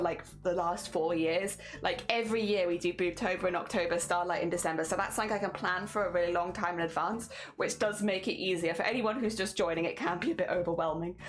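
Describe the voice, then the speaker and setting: A woman streamer with a mixed British accent that blends southern English, Midlands and Welsh. She chats casually with her viewers, rambles from point to point and laughs often. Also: mid-range voice.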